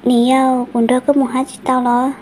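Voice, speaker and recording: A woman's voice: high voice, flat delivery, a little fast, a little mellow, slight background noise, lightly acted. A woman voicing a female character in a family folk-tale cartoon. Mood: conversational.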